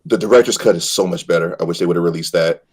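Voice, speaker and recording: A man in an animated, casual fan discussion, repeating phrases for emphasis and stumbling over his words as he goes. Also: deep voice, fast talker, slightly rough.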